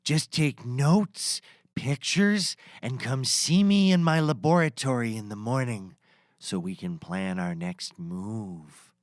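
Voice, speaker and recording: An actor playing a secretive male mad scientist in a scripted comedy, with drawn-out, deliberate phrasing. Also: medium pitch, no room sound, clean studio sound, close to an everyday voice. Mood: scheming. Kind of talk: scripted comedy audio drama.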